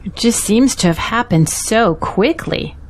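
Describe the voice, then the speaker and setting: A woman's voice: fairly high pitch, animated, measured pace, a little hiss or hum. A woman acting as a devout, supportive confidante of a pastor in an audio drama, speaking earnestly and reassuringly. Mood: troubled, earnest.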